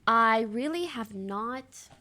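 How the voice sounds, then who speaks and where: A woman's voice: fairly high pitch, animated, takes it slowly, bright, forceful tone. A woman in her twenties from Canada, giving blunt, casual commentary on a stream and swearing now and then.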